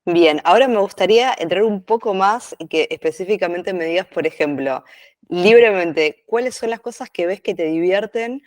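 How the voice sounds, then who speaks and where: A man's voice: sits high, normal speed, no room sound, clean studio sound. A man of forty-one with a Buenos Aires accent, hosting an informal interview with long, winding questions. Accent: Buenos Aires Argentine. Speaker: interviewer, host.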